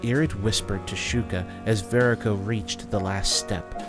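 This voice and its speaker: A man's voice: fairly low pitch, fairly even intonation, a little slow, over music. A man reading scripted fantasy story narration as the narrator of an audio drama.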